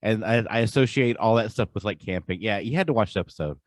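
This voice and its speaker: Low-pitched, casual male podcaster. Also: quick pace, dry recording, clean studio sound. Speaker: podcast co-host. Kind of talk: casual chat.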